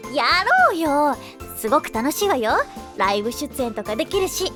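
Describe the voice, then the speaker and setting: Woman as high-pitched, lively teenage idol schoolgirl. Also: normal speed. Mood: coaxing. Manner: feminine, poised, coaxing speech. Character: confident, teasing teenage schoolgirl idol, persuasive and caring. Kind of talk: Japanese anime-style voice drama acting. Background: light tinkling children's music.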